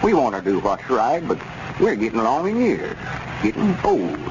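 An actor playing an earnest, worried old country storekeeper with a rural Southern accent and folksy, hesitant manner. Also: male voice, medium pitch, huge pitch swings, normal speed. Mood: apologetic, worried. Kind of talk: 1930s radio comedy acting. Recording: noisy, muffled 1930s radio recording.

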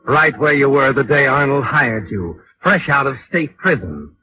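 A man's voice: mid-range voice, animated, measured pace, close to an everyday voice. A male actor playing an ominous, taunting storyteller who narrates a 1940s radio thriller in the second person. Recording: muffled vintage radio broadcast with music.

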